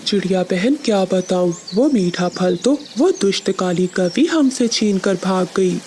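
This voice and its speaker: A woman's voice: medium pitch, expressive, unhurried, a little mellow, a little hiss or hum, close to an everyday voice. A woman voicing a friendly, earnest male pigeon in a children's cartoon. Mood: pleading.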